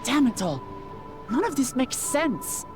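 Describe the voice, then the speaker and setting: An actress playing a blunt, wary woman warrior in a video game, with forceful phrasing. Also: sits high, very animated, unhurried, music in the background. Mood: frustrated.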